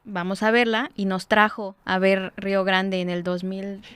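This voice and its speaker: A woman, a reserved, soft-spoken guest chatting about her story. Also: medium pitch, level tone, moderate pace, clean tone, no room sound. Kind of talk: casual conversation.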